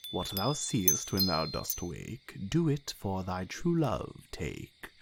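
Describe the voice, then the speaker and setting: Commanding fairy king for a male actor: low, hugely varied, declamatory Shakespearean audio drama. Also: huge pitch swings, slow, noisy background, full character voice. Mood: incantatory, menacing. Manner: theatrical, declamatory. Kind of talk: classical Shakespearean verse acting.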